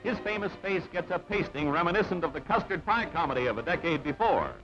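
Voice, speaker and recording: High-pitched man narrating a vintage newsreel. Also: animated, quick pace. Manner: clipped telegraphic delivery, dramatic. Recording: dull old newsreel soundtrack with music. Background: music.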